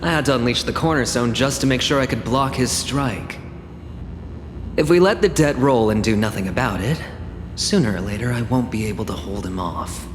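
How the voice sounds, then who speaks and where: An actor playing a wry, laid-back young man deep in debt in a video game. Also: normal speed, lightly acted. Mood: calm. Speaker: game character. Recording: echoey room with long reverb, noise.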